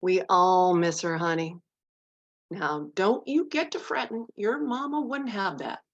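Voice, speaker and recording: A woman's voice: medium pitch, a little slow. A woman playing a plainspoken, tender-hearted aunt in mourning, folksy and motherly, in a dramatic script read with slightly muffled sound. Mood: fond.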